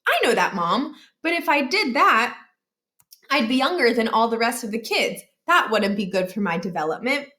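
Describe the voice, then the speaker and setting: A young schoolboy from a children's storybook, acted out by a woman, pleads in a high voice. He is eager and persistent, always wheedling for one more thing. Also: sing-song, normal speed, pushed and bright. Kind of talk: children's storybook read-aloud.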